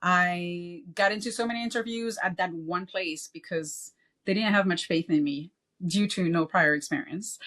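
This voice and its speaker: A woman's voice: on the low side, fairly bright tone. A woman from Belgium, a former car salesperson, talking casually as a podcast guest and often checking in with "you know" and "right?".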